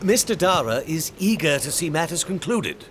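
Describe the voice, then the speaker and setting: A man's voice: a little high, big pitch swings, normal speed. A man playing a stern, impatient agent of a rich buyer in an audio drama, formal and insistent. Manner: formal, insistent, veiled threat. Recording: loud storm noise behind.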